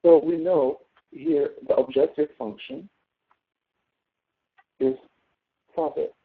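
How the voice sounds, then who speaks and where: A man's voice: fairly high pitch, slow pace, vocal fry, slightly rough. A man teaching as a professor in an informal tutoring walkthrough, explaining step by step and often checking in with "okay?". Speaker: professor teaching an online class. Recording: thin phone-like audio.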